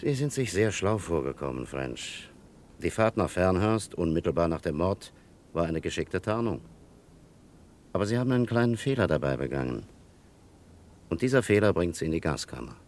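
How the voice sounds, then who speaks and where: A man acting a stern, accusing police captain in a 1960s German radio play, measured and menacing. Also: deep voice, big pitch swings, darkish tone. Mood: cold triumph.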